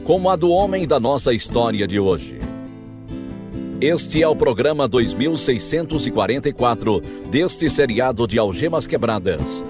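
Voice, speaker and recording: Very animated male radio announcer over music. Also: mid-range voice, moderate pace. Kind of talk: scripted dramatic radio narration. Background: music, plucked guitar.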